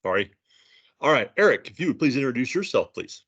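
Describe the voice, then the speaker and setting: A man hosting an online panel by video call, unhurried and loose with his fillers. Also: mid-range voice, sing-song, a bit bright, breathy edge, highs cut off. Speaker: panel moderator.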